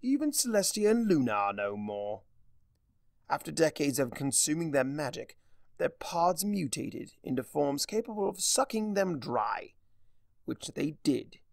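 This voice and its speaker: A man's voice: a little high, very animated, measured pace, creaky, no room sound, clean studio sound, voice pushed up, exaggerated voice. A man voicing a gleefully mad, theatrical spirit of chaos who lectures in a smug, mock-cheerful way, in a story reading. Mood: gleeful lecturing.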